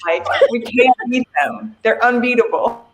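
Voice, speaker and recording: A woman's voice: a little high, unhurried, creaky, low-quality recording. A woman co-hosting a group livestream, talking in a casual conversational style.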